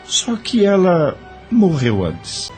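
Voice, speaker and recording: A man's voice: high voice, huge pitch swings, takes it slowly, a little mellow, full character voice. A man playing an anguished, guilt-ridden man confessing in an audio drama. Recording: music under the voice.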